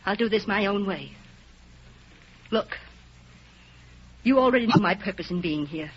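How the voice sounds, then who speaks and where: Proud, wounded agent, muffled radio. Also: female voice, medium pitch, expressive, unhurried, slightly rough. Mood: cold, threatening. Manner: intense, clipped, melodramatic delivery. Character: proud, wounded female agent, vengeful and lovelorn. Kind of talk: 1930s radio drama acting. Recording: noisy, muffled vintage radio recording.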